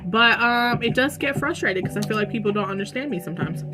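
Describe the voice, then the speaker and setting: A young woman with a loud voice answering viewer questions in a casual vlog, chatty and saying "like" a lot. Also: a little high, lively intonation, fast, over music. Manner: chatty, says "like" often. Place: room at home with dogs.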